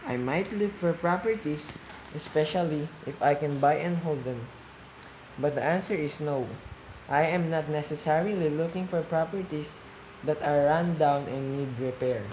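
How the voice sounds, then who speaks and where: A man playing a calm real estate investor who explains his views didactically in a scripted student radio play interview. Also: fairly high pitch, moderate pace, close to an everyday voice, low-quality recording. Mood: firm, explaining. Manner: didactic, repeats phrases for emphasis. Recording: faint background noise.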